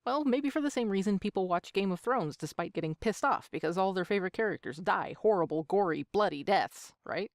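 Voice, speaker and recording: A woman's voice: medium pitch, animated, brisk, few pauses, no room sound, studio quality. A woman in her thirties answering interview questions as an amateur voice actor, with a joking, self-deprecating manner. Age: 30s.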